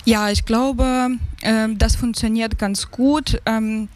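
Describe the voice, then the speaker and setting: A woman in her thirties speaks with a Ukrainian accent at a very unhurried pace. She is an interview guest answering off the cuff, often hesitating as she searches for the right words. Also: fairly high pitch, steady tone, a little mellow. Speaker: interview guest, advisory board member.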